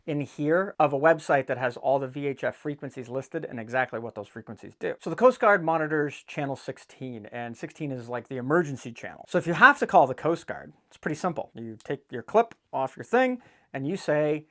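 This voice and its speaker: A man's voice: a little high, lively intonation, fairly quick, bright, forceful tone. A man hosting a casual how-to video, with a blunt, irreverent, joking and sweary manner, talking straight to viewers in a folksy way. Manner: folksy, addresses viewers directly. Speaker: host of a boating DIY video channel. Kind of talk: casual instructional vlog. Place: indoors in an office.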